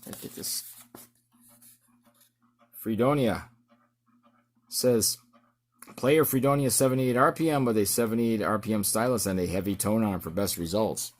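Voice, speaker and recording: A middle-aged man giving a casual show-and-tell as an amateur musician, in a halting, rambling way. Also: fairly low pitch. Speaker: amateur musician presenting his record.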